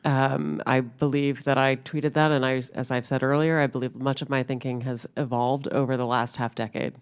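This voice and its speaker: A woman's voice: rumbling low, level tone, moderate pace, clean tone. A woman, a media executive, answering questions at a hearing in a polite, deferential way, addressing the questioner as sir.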